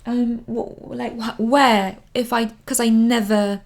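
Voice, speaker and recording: Woman around 30, english not her first language, talks real slow, lots of ums, repeats stuff to make a point. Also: on the high side, level tone, creaky. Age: 30s. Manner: hesitant, many ums and restarts; earnest, repeats words for emphasis. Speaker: vlogger. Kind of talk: casual advice talk to camera. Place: living in London.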